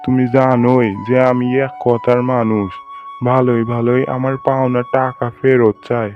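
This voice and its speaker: A stern village moneylender from a cartoon, acted by a man, condescending and firm. His pitch stays flat and level, and the recording is dull with faint music behind. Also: moderate pace, natural voice. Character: stern, unyielding male village landlord and moneylender. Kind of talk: Bengali cartoon voice acting.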